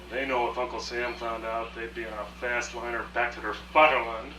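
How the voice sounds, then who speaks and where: Cocky, scheming smuggler played by a man, slangy and breezy, middle-pitched, echoey pickup with clear background hum. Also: level tone, fairly quick, sharp tone, natural voice. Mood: scornful, brash. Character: brash, scheming smuggler of art treasures, cocky. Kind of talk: radio play acting, rehearsed dialogue. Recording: noisy, echoey distant room with hum.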